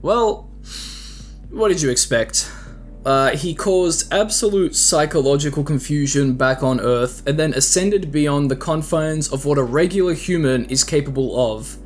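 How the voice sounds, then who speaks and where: A man's voice: fairly high pitch, a little slow, faint music behind. A man hosting a video with casual scripted commentary, talking conversationally and putting rhetorical questions to the listener. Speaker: host and narrator of horror analysis videos.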